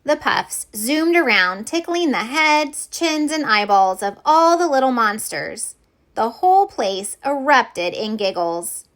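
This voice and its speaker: A woman reads a picture book aloud for young children. Her voice is fairly high and bright, and she takes her time. The phrasing is deliberate and expressive. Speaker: storyteller.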